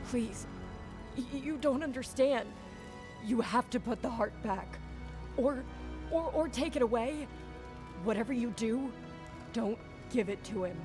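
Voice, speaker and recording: An actress playing a fretful young relative in a fantasy video game, stammering and pleading earnestly. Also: female voice, on the high side, measured pace, pauses often, natural voice. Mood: anxious, pleading. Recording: loud music behind the voice.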